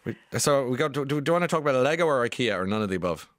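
A man's voice: mid-range voice, lively intonation, racing. A man hosting a morning radio show, reading listener texts and chatting with a caller. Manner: chatty, warm banter with callers. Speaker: radio host.

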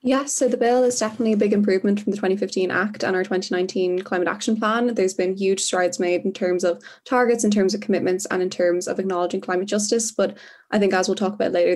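A teenage girl from Ireland, a climate activist giving a confident, brisk assessment in a panel discussion. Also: medium pitch, fairly even intonation, darkish tone. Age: teens.

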